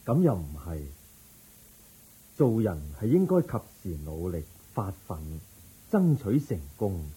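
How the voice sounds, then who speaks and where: A wise, compassionate Buddha in a Hong Kong Cantonese radio drama. His low, very dark and husky voice teaches solemnly, with lively intonation. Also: exaggerated voice. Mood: solemn teaching. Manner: measured, teaching through questions.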